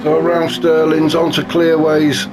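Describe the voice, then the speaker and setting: A man commentating a sim race in a chatty, wry way, his voice a bit gravelly and snuffly from a cold. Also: fairly high pitch, completely flat delivery, a little slow. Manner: chatty and wry, many fillers. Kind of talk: live sim racing commentary. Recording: noisy with car engine sounds behind.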